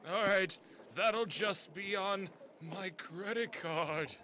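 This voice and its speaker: A man's voice: high-pitched, takes it slowly, very noisy. A man playing a doddering, polite old customer in a comedy sketch, stammering and fussily repeating himself. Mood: cheery.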